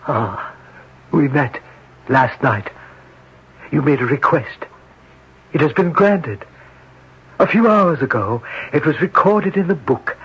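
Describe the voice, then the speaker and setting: An actor playing an otherworldly male servant who grants wishes, speaking in a slow, measured, formal way. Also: mid-range voice, fairly bright tone, husky, heavily acted. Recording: dull old radio broadcast.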